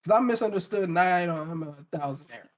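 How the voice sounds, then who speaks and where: Casting: American male podcast co-host, notably high voice, casual, opinionated, leans on repetition. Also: animated, quick pace, a little hiss or hum. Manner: opinionated, repeats phrases for emphasis. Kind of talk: casual chat.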